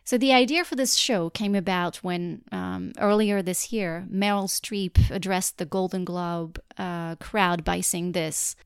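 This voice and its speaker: A woman's voice: fairly low pitch, a little slow, few pauses, clear, resonant tone, no echo, clean studio sound. A young woman from France with a French accent, earnestly reading a scripted podcast trailer as its host.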